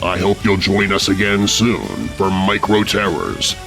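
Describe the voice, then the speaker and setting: Male announcer with a deep, gravelly voice for a steady promotional sign-off read. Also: measured pace, over music. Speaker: podcast announcer. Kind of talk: scripted outro announcement.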